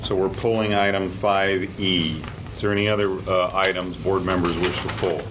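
A man's voice: deep voice, flat delivery, normal speed. A man chairing a public board meeting, running through procedure off the cuff. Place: indoor public meeting room. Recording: clearly noisy meeting room recording.

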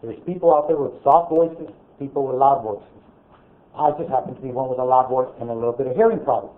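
A man speaking loudly and bluntly during public comment at a board meeting, recounting a grievance. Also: mid-range voice. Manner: loud, blunt, aggrieved storytelling. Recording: tinny phone-like meeting audio.

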